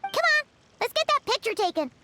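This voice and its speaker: A woman voicing a tiny, childlike fairy companion in a video game, squeaky, cheerful, excitable and quick to take offence. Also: very noisy. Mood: earnest, brisk. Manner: refers to self in third person, exclamatory. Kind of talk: animated video game voice acting.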